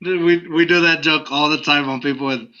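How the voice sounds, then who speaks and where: A man's voice: fairly high pitch, fairly even intonation, fairly quick, pushed and bright, lo-fi sound. A man co-hosting a podcast, rambling easily through a casual drinking chat. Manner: rambling, easygoing, restarts often. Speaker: podcast co-host.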